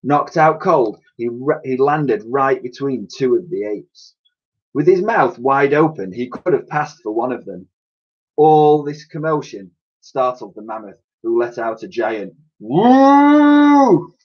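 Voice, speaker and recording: A man reading a children's storybook aloud in an expressive, dramatic way, as a teacher would to pupils. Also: mid-range voice, measured pace, husky. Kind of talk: animated children's storybook narration. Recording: dull, lo-fi recording.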